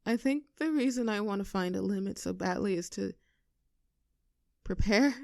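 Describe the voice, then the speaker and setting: A woman's voice: mid-range voice, vocal fry, darkish tone, dry recording, clean studio sound, natural voice. A woman playing an affectionate, teasing girlfriend with a vulnerable streak in an intimate roleplay audio drama. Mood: vulnerable, tender. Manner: teasing, halting when earnest.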